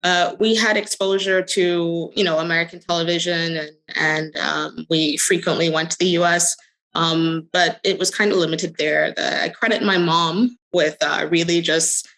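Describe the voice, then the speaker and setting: A middle-aged woman answering interview questions conversationally, telling her story in short phrases with hesitant pauses. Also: lowish voice, steady tone, a bit bright, poor audio. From the Bahamas. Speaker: interview guest, crypto company executive.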